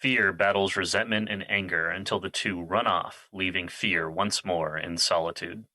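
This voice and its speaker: A man's voice: low voice, fairly even intonation, moderate pace, sharp tone, no room sound, exaggerated voice. A man reading scripted narration for an audio drama.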